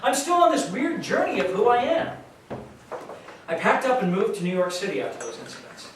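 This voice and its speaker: A man's voice: very high-pitched, sing-song, brisk. Echoey, distant man acting an earnest youth worker. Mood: anguished, emphatic. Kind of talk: staged reading monologue. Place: live staged reading in a large room. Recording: very echoey hall, distant mic, faint noise.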